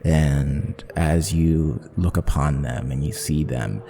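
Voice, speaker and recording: A man narrating a tabletop actual play podcast as game master, in measured, dramatic short phrases. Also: a bass voice, steady tone, warm tone. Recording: long reverb, clear background noise.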